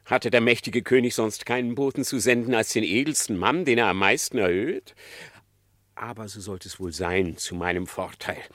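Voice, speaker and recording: Cunning fox, low voice, oily flattery, feigned meekness, dry close sound, radio play. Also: male voice, no room sound, heavily acted. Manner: unctuous, feigned meekness, drawn-out phrases. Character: sly, flattering, falsely humble fox trickster. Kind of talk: classic German radio play acting.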